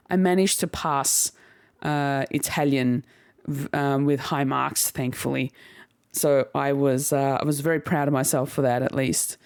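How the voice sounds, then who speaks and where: Slow, smooth-voiced young podcast host. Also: mid-range voice, darkish tone, no room sound, clean studio sound. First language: Turkish heritage household, speaks English at home. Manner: reflective and rambling, frequent laughs and self-corrections. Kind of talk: casual solo podcast monologue.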